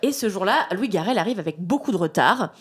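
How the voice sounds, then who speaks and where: French woman in her 30s chatting as a guest, lively storyteller, does little voices for people. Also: medium pitch, fast, husky. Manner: lively anecdotes with acted-out quotes. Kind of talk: casual storytelling chat.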